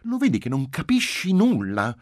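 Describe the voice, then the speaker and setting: A man's voice: a little high, wildly swooping pitch, normal speed, darkish tone, dry recording, clean studio sound, voice pushed up. A man reading a story, voicing a willful, pouty little girl who talks with insistent, self-important emphasis. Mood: bossy. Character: small girl, willful, pouty, bossy know-it-all.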